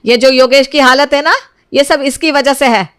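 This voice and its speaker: A high, dry-recorded voice of a bitter older mother-in-law, acted out by a woman. She scolds and orders people about in cartoon moral-story style, accusing and bossy. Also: steady tone, normal speed, fairly bright tone, no room sound. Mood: harsh scolding.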